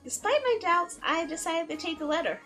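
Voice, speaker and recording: A woman game streamer reading a visual novel aloud in a theatrical, expressive way, with playful asides. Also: very high voice, a little fast. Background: background music.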